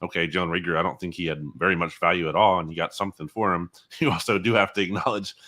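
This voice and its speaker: A man's voice: low-pitched, steady tone, fast, bright, forceful tone. A man giving conversational, opinionated sports commentary as an interview guest, often qualifying his points. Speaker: guest football analyst.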